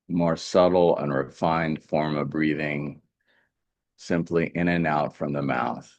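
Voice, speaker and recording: A man leading a guided meditation as a teacher, speaking in a calm, gentle, deliberate way with pauses. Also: very deep voice, no room sound, highs cut off.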